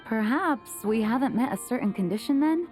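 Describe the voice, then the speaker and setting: A woman voicing an earnest, courteous young leader in a video game, gentle and thoughtful in manner. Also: fairly high pitch, animated, moderate pace, soft background music, natural voice. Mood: curious. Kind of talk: video game character acting.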